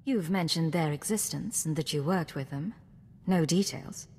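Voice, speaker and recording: A secret agent woman in a video game, her voice a little low. She is measured, composed and dryly witty. Long reverb and some background noise surround her. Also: warm tone. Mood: amused, measured. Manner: measured, cool, dry. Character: composed, dry-witted female imperial secret agent.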